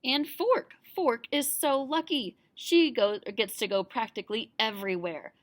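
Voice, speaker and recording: Woman voicing a whiny young boy spoon, high-pitched. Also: a little slow, no room sound, close to an everyday voice. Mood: glum, envious. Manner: plaintive, mumbling.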